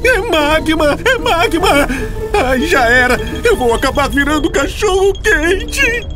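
Whiny, swooping cartoon dog. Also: female voice, very high-pitched, very animated, slow, full character voice. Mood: alarmed. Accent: Brazilian. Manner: whiny, dramatic, exclaiming. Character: greedy, panicky cartoon dog who whines. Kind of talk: children's cartoon dubbing. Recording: music behind the voice.

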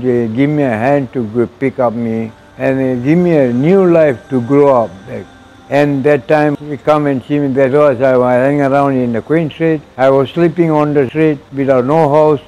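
A man's voice: medium pitch, mellow, lo-fi sound, slight background noise. A man in his sixties with a Malaysian English accent, giving an earnest personal testimony in broken, repetitive English on a lapel mic in an outdoor mosque walkway. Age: 60s. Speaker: interviewee.

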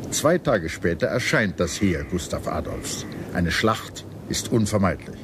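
A man narrating a scripted history documentary in a measured, serious manner. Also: deep voice, expressive, noisy background.

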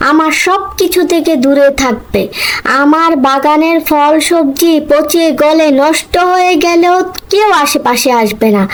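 A woman voicing a bitter, sharp-tongued female bird who scolds others in a children's cartoon. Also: high-pitched.

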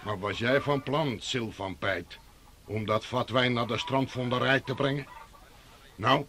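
A man acting a calm, pious farmer neighbour who speaks in measured, teasing maxims in a 1960s radio drama. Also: medium pitch, slight background noise, natural voice. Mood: admonishing. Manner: measured, sententious, gently teasing.

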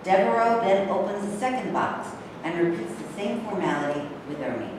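A woman's voice: mid-range voice, animated, a little fast. Woman reading stage directions, very echoey. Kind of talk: scripted narration of stage directions. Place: bare indoor rehearsal room. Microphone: distant camera microphone. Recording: echoey room from far off, clear noise.

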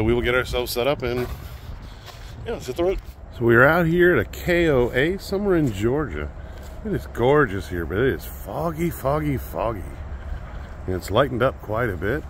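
Lowish-voiced man vlogging on the road. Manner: relaxed, rambling, upbeat. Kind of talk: casual road-trip vlog. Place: outdoors and inside a car. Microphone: phone or action camera at arm's length. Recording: faint outdoor and traffic noise.

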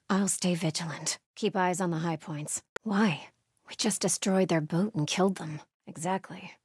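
An actress playing a devout, watchful cult sentry in a video game, speaking in a solemn, measured, pious way with a husky voice. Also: female voice, on the low side, no room sound, studio quality, heavily acted. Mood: solemn vigilance. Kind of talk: video game ambient dialogue.

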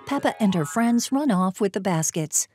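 A woman's voice: mid-range voice, expressive, normal speed, darkish tone, soft background music. A woman giving concise scripted audio description as a narrator.

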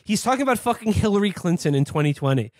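Sardonic American man with a higher voice. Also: very animated, quick pace, a little mellow, no echo. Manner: sardonic, profane. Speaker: podcast co-host. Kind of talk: casual political comedy chat.

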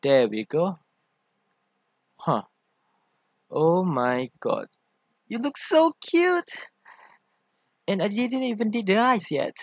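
A man's voice: high voice, dry recording, full character voice. Man acting a light-voiced, playful femboy boyfriend. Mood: gentle, playful, then gushing. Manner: teasing, gushing, expressive, drawn-out emphasis. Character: playful, doting, easily jealous femboy boyfriend. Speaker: ASMR voice actor. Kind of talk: boyfriend roleplay ASMR.

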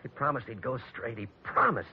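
Husky, desperate jailed tough guy. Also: mid-range voice, big pitch swings, brisk, full character voice. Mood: bitter. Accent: American. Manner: rough, slangy, emotional. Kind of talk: 1940s radio acting. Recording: dull old radio recording.

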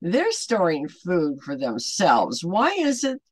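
A woman's voice: lowish voice, huge pitch swings, slow pace, no echo, studio quality. An older woman of about seventy giving an unscripted, rambling devotional talk to camera, emphatic and fond of rhetorical questions, as an online ministry host. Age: older, around 70. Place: small furnished home room, indoors.

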